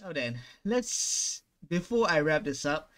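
A young man hosting a casual gaming reaction video on a headset, with animated, slangy exclamations. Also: fairly high pitch, slow. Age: young adult. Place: small room indoors at home.

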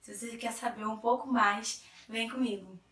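A young Brazilian woman with a hoarse cold voice, chatting to camera as a vlogger in a chatty, self-interrupting way. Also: a little high, fairly even intonation, normal speed, a bit bright, far from the mic. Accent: Brazilian Portuguese. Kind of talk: casual chat to camera. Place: small room indoors. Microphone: camera in front at medium distance. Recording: echoey room, a little off-mic.

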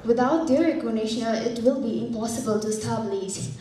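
A young woman from Nepal giving an earnest student welcome speech into a handheld mic at a conference, halting now and then with fillers. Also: a little high, dark tone. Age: young adult. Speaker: student presenter. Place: conference hall. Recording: echoey hall from far off.

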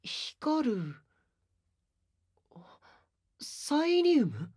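A male actor voicing a calm, mild-mannered young male idol who speaks softly with dry amusement, recorded on a binaural mic. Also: very high voice, exaggerated voice. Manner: soft, unhurried, dryly amused. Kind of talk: anime voice drama.

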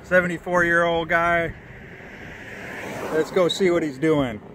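A man's voice: a little high, steady tone, measured pace, background noise. A man filming outdoors with a handheld camera, chatting and teasing as he narrates what he shows. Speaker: son filming his father for a video. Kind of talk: casual vlog narration and chat. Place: outdoors at a small airfield.

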